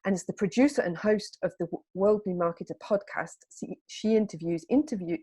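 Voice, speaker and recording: A woman with a somewhat low voice hosts an interview. She reads a formal introduction of her guest, then asks questions at a steady, courteous pace. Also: brisk, breathy edge. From United Kingdom. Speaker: podcast interviewer.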